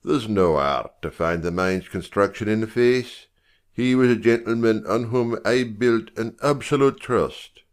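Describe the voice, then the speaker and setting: A man's voice: lowish voice, a little slow, clean tone, natural voice. An actor playing a gracious, dignified older king in a dramatic Shakespeare reading. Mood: regal, regretful.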